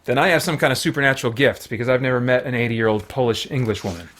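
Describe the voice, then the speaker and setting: A man's voice: mid-range voice, quick pace, fairly bright tone. A man chatting casually as a livestream host, in a rambling, self-deprecating way.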